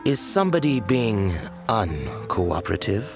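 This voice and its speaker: An actor playing a cold, formal, menacing envoy of a powerful faction in a video game, speaking in measured phrases. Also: male voice, fairly low pitch, big pitch swings, music in the background. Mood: coldly threatening. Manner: formal, measured, veiled threats. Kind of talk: video game cutscene acting.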